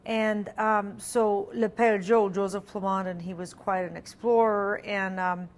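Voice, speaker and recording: A middle-aged woman, French her first language, talks as a guest in a casual interview. Her speech wanders and restarts, full of ums and easy laughter, at an ordinary middle pitch. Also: fairly even intonation, unhurried. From Alberta, Canada. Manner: chatty, many ums and restarts, laughs easily. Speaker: singer-songwriter guest.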